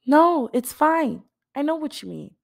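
A woman voicing a shy, soft-spoken teenage girl in an audiobook, with a reassuring, understated manner. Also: sits high, very animated, measured pace, vocal fry, pitched above the performer's own voice, full character voice.

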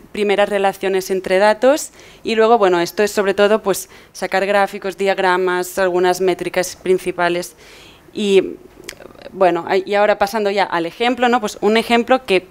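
A young woman from explains technical material as a conference speaker. Her voice is smooth and clean, at a middling pitch. She feels her way through phrases and often tags them with a questioning check for agreement. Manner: hesitant, often seeking agreement with tag questions. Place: medium-sized indoor event room with seated audience.

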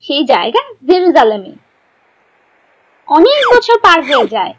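A woman narrating a scripted children's story in a clear, instructive manner. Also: high-pitched, big pitch swings, unhurried, noisy background. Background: background music.

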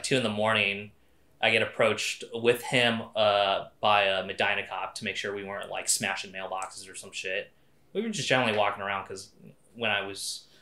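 Male, 30, American. Rambling casual interviewee, forceful edge, recorded in a roomy living room. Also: fairly low pitch. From Seattle, United States. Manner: rambling, self-correcting, slangy. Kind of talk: casual personal recollection. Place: indoor living room, seated in armchair.

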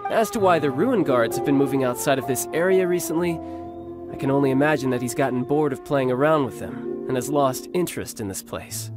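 A man's voice: mid-range voice, a little fast, natural voice. A man playing a confident, protective young warrior and older brother in a video game, with a polished, theatrical delivery. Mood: explaining, breezy. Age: young adult. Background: game music.

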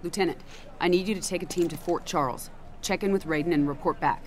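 A woman's voice: lowish voice, fast talker, lightly acted. A woman playing a tough, middle-aged military commander in a game, clipped and authoritative. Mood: curt. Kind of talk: video game cutscene acting.

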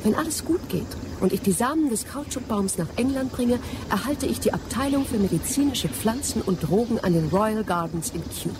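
An actress playing a determined, ambitious young female botanist in a radio play, earnest and expressive. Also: fairly high pitch, moderate pace, hardly any pauses, noisy background, natural voice. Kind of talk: German radio play acting.

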